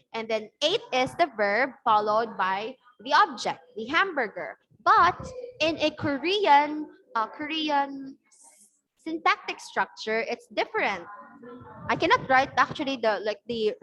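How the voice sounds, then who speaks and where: A woman's voice: on the high side, bright, forceful tone, low-quality recording. A Filipino woman teaching an online class, explaining patiently and often checking in with "okay?" over a video call.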